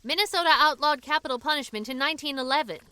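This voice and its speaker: A woman's voice: high voice, racing, bright, forceful tone, no room sound. A woman acting in a radio drama as a feisty, plain-spoken woman who pleads earnestly and urgently. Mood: earnest persuasion.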